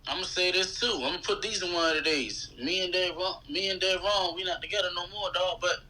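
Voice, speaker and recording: A man's voice: sits high, a little fast, pushed and bright, rough recording. An American man in his thirties talking casually as an interview guest, in a slangy, conversational way. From United States. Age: 30s. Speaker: guest, rapper.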